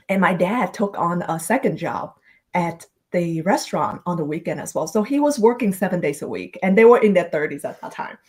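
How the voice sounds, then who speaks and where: A woman from Vietnam, a podcast guest on a video call, telling her life story in a reflective way and laughing easily. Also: on the low side. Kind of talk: casual storytelling interview.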